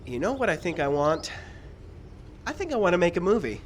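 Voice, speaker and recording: A man's voice: high-pitched, sing-song, brisk, a little hiss or hum. An actor playing a terse, stubborn and greedy gunman in live old-time radio style. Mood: smug. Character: laconic armed man turning stubborn and greedy.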